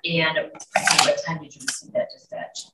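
Lady prosecutor questioning a witness, really low voice, echoey room. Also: flat delivery, moderate pace. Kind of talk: formal courtroom questioning. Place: courtroom, picked up from afar. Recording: echoey courtroom, distant mic, faint noise.

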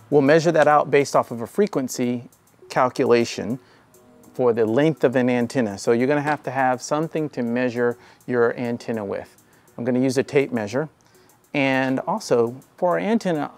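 Creaky-voiced man narrating a tutorial. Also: mid-range voice. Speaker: tutorial host. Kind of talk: explanatory tech tutorial narration.

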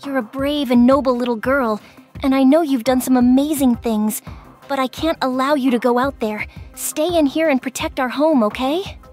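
Woman as a high-voiced protective mother rabbit. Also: normal speed, darkish tone, close to an everyday voice. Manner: soothing but firm. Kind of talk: dramatic comic dub. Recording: music behind the voice.

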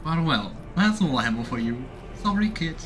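A man's voice: fairly high pitch, big pitch swings, moderate pace. A man in his thirties doing casual game commentary and reading narration aloud as he streams. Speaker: let's play streamer voicing a game. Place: small room indoors at home. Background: game music.

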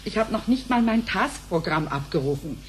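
A woman's voice: medium pitch, lively intonation, moderate pace, natural voice. A woman playing a prickly, officious futuristic bank receptionist in a radio play. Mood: indignant, irritated. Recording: loud background noise, sci-fi ambience.

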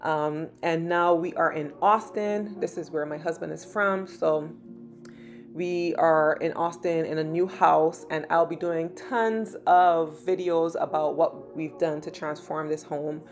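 A woman from Barbados in her thirties, narrating her vlog in a relaxed, chatty way with many "um"s. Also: low-pitched, measured pace, soft background music. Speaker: lifestyle vlogger. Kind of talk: casual vlog voice-over.

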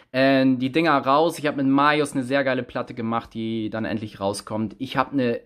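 A man in his thirties, a rapper, telling a story and chatting casually into a phone held close at arm's length in a small room, with lively, animated pitch swings. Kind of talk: casual selfie vlog storytelling.

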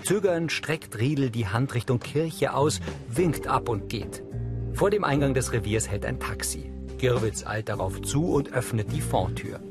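A man's voice: mid-range voice, lively intonation, unhurried, over music. A man reading scripted audio description in a neutral, precise manner. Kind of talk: scripted narration.

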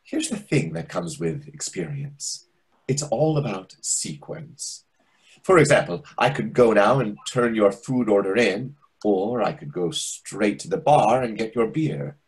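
Man playing self-important waiter, swooping low voice. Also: animated, measured pace, low-quality recording. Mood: patronizing. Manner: pompous, digressive, insinuating. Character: chatty, self-important, nosy waiter over forty. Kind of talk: play reading, restaurant comedy. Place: indoors on a video call. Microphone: video call microphone.

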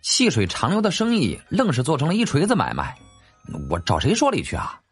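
A man's voice: a little high, wildly swooping pitch, brisk, faint music behind, pitched above the performer's own voice. A man voicing a shrewd, playful young nobleman, courteous and salesmanlike, in an audiobook. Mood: mock lament.

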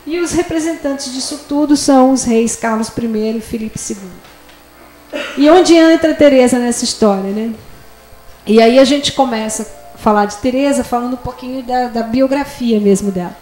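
A middle-aged woman with a high voice teaches from a handheld microphone, keeping it conversational and tossing in little check-ins to her listeners. The room is small and echoey, with a faint hum of ambience behind her. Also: normal speed, mellow. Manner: chatty, asides with "né?". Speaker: lecturer. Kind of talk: classroom lecture with slides. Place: small lecture room with projector screen.

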